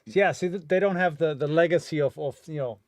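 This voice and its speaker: A man with a slightly raised pitch chimes in with quick agreements and reads questions aloud. He sounds a little distant from the microphone. The sound itself stays dry. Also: darkish tone, no room sound, dull audio. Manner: brief agreeing interjections, reads viewer questions. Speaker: co-host. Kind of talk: casual live-stream gear chat.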